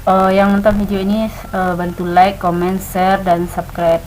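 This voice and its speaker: A woman's voice: medium pitch, flat delivery, a little slow, darkish tone, slight background noise, poor audio. A woman in her late twenties, a stay-at-home mother, talking casually to camera with many hesitant fillers and restarts. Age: 20s. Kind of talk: casual talk to camera, practicing storytelling.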